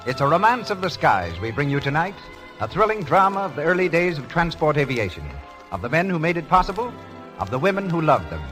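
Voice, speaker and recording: A man's voice: on the high side, fairly bright tone. A man delivering scripted announcements and narration in a 1930s radio show style, on an old broadcast recording.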